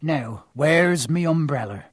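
A man's voice: on the high side, expressive, takes it slowly, no echo, clean studio sound. A man voicing a huge, kindly giant of a man who talks in homely, ungrammatical speech. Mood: chatty.

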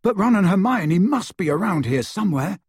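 A man's voice: high-pitched, big pitch swings, moderate pace, a little mellow, breathy edge, dry recording, clean studio sound, pitched above the performer's own voice, exaggerated voice. A man doing an urgent teenage boy wizard.

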